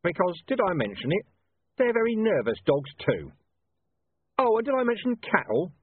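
Casting: male narrator as predatory, sarcastic guard captain, husky, swinging intonation, mock-casual menace. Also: on the high side, sing-song, dry recording, narrow, tinny audio, voice pushed up. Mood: menacing sarcasm. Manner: mock-conversational, halting, menacing understatement. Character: smiling, predatory, sarcastic city watch commander.

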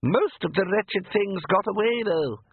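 Rich: a man playing a doting, prim vampire mother, high and sweet, who turns commanding when she is crossed. The sound is tinny and phone-like, and very dry. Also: expressive, unhurried, background noise.

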